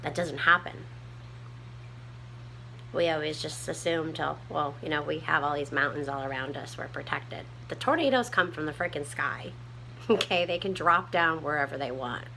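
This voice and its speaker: A woman with a small, soft voice, chatting casually to her viewers from home with lots of ums while she tidies up. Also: deep voice, moderate pace, gravelly, slight background noise, poor audio. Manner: rambling, many ums, homespun talk. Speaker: prepping vlogger. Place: home room, tidying a table.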